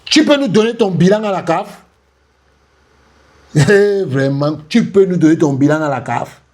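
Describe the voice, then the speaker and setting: A man's voice: sits high, lively intonation, unhurried, a little mellow. A man giving sarcastic, taunting commentary on an interview, repeating phrases and laughing with scorn. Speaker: commentator reacting to an interview clip. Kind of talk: mocking reaction commentary.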